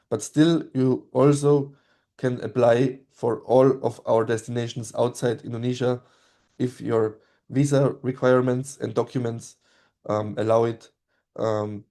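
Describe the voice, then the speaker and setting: A man whose first language is German, presenting an online study-abroad info session in a steady voice with frequent ums. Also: mid-range voice. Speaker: study abroad program representative.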